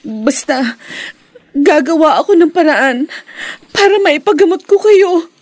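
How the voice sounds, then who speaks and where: Timid, devoted daughter, high voice, Filipino accent, hesitant and pleading, radio drama. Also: female voice, lively intonation, a little slow, a little mellow, dry recording. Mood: apologetic, sorrowful. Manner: hesitant, respectful, tearful pleading. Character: meek, guilt-ridden, devoted young daughter. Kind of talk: Philippine radio drama acting.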